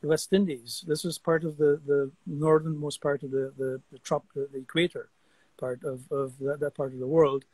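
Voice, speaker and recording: Older man in his sixties, fairly high voice, dry close sound, animated storyteller on a video call. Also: normal speed, no room sound, rough recording. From Guyana. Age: 60s. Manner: animated storyteller, frequent repeated words. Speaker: guest artist. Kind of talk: casual video-call conversation. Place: outdoor deck among trees. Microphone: video call webcam.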